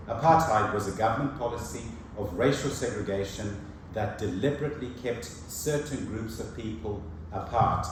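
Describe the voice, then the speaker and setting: A man whose first language is Shona, giving a sermon as a preacher, measured and earnest, with slow emphatic phrases. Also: on the low side, slight background noise. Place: church hall. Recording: echoey church from far off.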